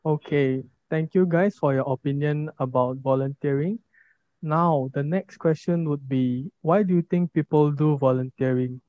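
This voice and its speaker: A man's voice: on the high side, normal speed, no room sound. A young man hosting a podcast, giving formal, orderly introductions and questions. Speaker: podcast host. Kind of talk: scripted podcast moderating. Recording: dull lo-fi recording.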